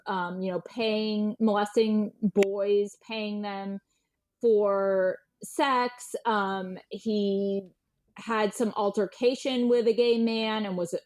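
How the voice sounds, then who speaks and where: A woman's voice: mid-range voice, darkish tone. A woman speaking as an interviewed journalist, recounting events in an informal, emphatic way and repeating words for stress. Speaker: guest journalist and writer. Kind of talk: conversational interview storytelling.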